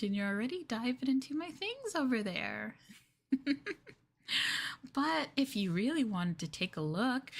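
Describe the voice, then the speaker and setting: Slow, coy, possessive girlfriend played by a woman. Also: on the high side. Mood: sweetly obsessive. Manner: coy, hesitant, giggly, soft coaxing. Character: clingy, sweetly possessive yandere girlfriend, young woman. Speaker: streamer performing ASMR roleplay. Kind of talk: ASMR girlfriend roleplay, improvised.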